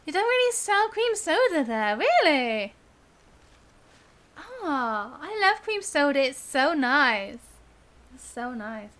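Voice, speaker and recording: A woman's voice: high-pitched, sing-song, slow, long pauses, a bit bright. A young British woman chatting casually on a game live stream, girlish and chatty with sing-song emphasis.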